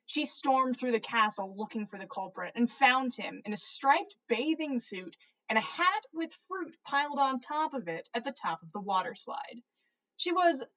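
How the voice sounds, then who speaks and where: Woman, high-pitched, very expressive intonation, informal podcast host, storytelling and casual livestream talk. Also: rough recording. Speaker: podcast host reading listener stories. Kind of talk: animated story reading and casual livestream chat.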